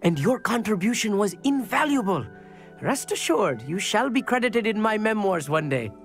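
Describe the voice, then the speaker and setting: A man's voice: sits high, big pitch swings, moderate pace, a bit bright, full character voice. An actor playing an eager, bookish, nervous teenage boy astronomer in a video game, chatty and slightly formal in manner. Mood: excited, proud. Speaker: companion character in a fantasy video game. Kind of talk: scripted game dialogue. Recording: game music under the voice.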